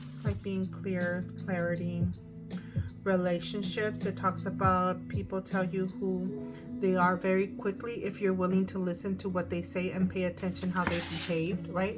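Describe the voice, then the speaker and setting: A woman with a hoarse voice giving a casual tarot card reading, thinking aloud as she goes. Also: on the low side, flat delivery, moderate pace. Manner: chatty, thinking aloud with fillers. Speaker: tarot reader. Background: music.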